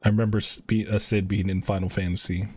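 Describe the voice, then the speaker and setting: A man's voice: fairly low pitch, level tone, fast talker, darkish tone, husky. A man chatting casually as he comments on a game during a live stream.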